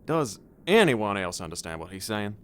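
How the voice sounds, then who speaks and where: A man's voice: on the low side, sing-song, normal speed, slight background noise. A man playing a blunt, coarse, indignant vampire hunter in a gothic horror drama.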